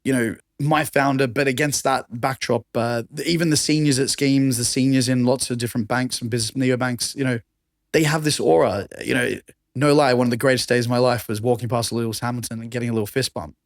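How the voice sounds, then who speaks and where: A man with an Australian accent and a raspy voice, talking enthusiastically as a podcast guest. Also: mid-range voice, steady tone, brisk, no echo, clean studio sound. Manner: enthusiastic, conversational, laughs often. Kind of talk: casual chat.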